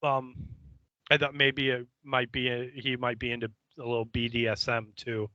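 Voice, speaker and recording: A man co-hosting a podcast, giving a casual episode recap in a halting, hesitant, foul-mouthed way. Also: medium pitch, level tone, bright, forceful tone, dry recording, dull audio. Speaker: podcast co-host.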